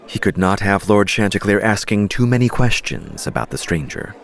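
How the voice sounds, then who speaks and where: A man's voice: fairly low pitch, very animated, normal speed. A man narrating an audiobook story in a dramatic, scripted reading style.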